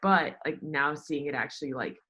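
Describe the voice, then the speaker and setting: A woman's voice: sits low, moderate pace, sharp tone. A young American woman chatting casually as an interview guest, sprinkling "like" through her sentences and often acting out what people said. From United States. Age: young adult. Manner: chatty, peppered with "like", quotes herself and others. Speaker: interview guest, former college golfer in sports media. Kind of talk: casual chat, personal anecdotes.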